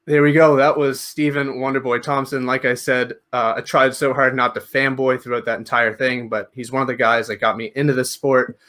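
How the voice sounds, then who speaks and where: A man's voice: medium pitch, flat delivery, a little fast, hardly any pauses, a bit bright. A man hosting a podcast, chatting casually and conversationally as the interviewer.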